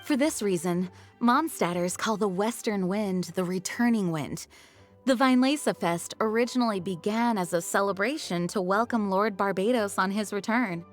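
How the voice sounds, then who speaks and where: Casting: woman playing poised game knight leader, mid-pitched, formal and earnest, soft music underneath. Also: normal speed, lightly acted. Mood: explaining. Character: composed, courteous, dutiful young woman knight leader. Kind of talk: video game character acting.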